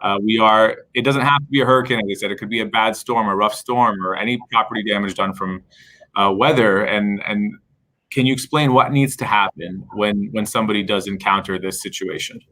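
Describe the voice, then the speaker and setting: A man's voice: lowish voice, fairly quick, pushed and bright, rough recording. A man hosting a live-stream interview, asking long, conversational questions. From Palestinian background. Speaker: host, attorney.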